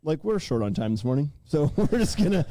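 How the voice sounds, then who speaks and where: A man's voice: a little high, sing-song, brisk, dark tone. A man co-hosting a podcast in casual, jokey, self-deprecating banter. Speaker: podcast co-host.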